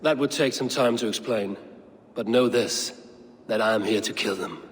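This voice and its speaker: An actor playing a stern, purposeful Viking raider in a video game, measured, terse and formal in speech. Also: male voice, lowish voice, in a large hall, close to an everyday voice. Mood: grim resolve. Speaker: playable lead character.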